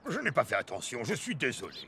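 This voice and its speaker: A man's voice: medium pitch, huge pitch swings, fast talker, husky, heavily acted. A man voicing an impatient, grumbling talking vehicle in a French-dubbed children's cartoon, blustering and full of exclamations. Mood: sheepish. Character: hasty, grumbling male talking vehicle, apologetic when scolded. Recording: loud music behind the voice.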